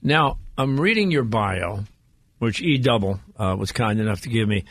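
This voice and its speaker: A man's voice: fairly low pitch, lively intonation, moderate pace, dry recording. A man hosting a radio talk show in a casual interviewing style, speaking into a studio microphone. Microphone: close studio microphone.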